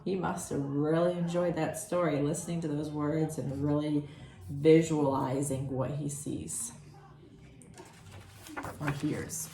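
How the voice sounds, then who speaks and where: Slow-reading woman storyteller in an echoey room. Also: low-pitched. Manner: expressive, pausing to comment and ask listeners questions. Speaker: read-aloud storyteller. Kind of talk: children's picture book read-aloud. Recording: roomy echo, faint background noise.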